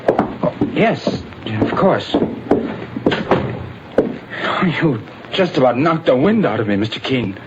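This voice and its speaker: A man's voice: medium pitch, big pitch swings, a little slow, dull audio. A jittery, evasive young man. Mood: agitated. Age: young adult. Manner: agitated, stammering. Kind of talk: 1940s radio acting. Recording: hissy old radio broadcast.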